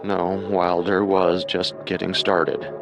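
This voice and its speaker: Low-voiced male true crime narrator. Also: little pitch movement, slow, over music. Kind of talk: scripted dramatic narration.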